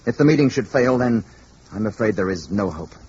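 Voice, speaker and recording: An actor playing a grave, courteous spaceman in human form in a 1950s radio drama, speaking in measured, formal, precise phrases. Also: lowish voice, animated, a little mellow, lightly acted. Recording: muffled, hissy old radio recording.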